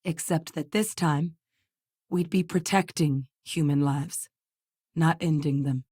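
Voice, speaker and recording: An actress playing a composed, earnest woman scientist with a military past in a video game, speaking in a measured, serious, articulate way. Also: sits low, steady tone, a little mellow, breathy edge, dry recording, clean studio sound, heavily acted. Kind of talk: scripted game dialogue, naturalistic acting.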